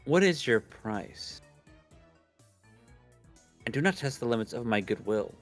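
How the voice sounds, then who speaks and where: A man's voice: medium pitch, animated, a little slow, faint music behind, close to an everyday voice. A man voicing a grandiose, pompous young self-styled mad scientist from a visual novel. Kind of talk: reading visual novel dialogue aloud.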